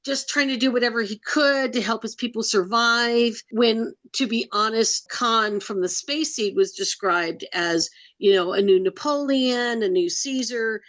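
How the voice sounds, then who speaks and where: A woman co-hosting a casual podcast, giving measured opinions and weighing what she liked and didn't. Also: on the high side, a bit bright, no echo. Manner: gives measured opinions, weighing her views. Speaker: podcast co-host.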